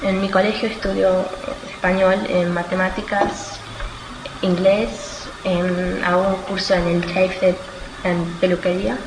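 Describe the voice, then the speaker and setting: An eighteen-year-old woman from Australia answers interview questions informally, haltingly searching for words and correcting herself. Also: lowish voice, level tone. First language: Spanish. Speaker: student being interviewed. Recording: echoey room with clear background noise.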